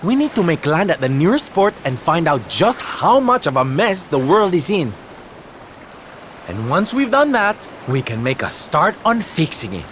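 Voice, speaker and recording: A man's voice: fairly high pitch, very animated, normal speed, a bit bright, a little hiss or hum. An actor voicing a flamboyant theatrical male entertainer in a video game cutscene, dramatic and fond of pet names. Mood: resolved. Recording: game audio over ocean waves. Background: surf and waves.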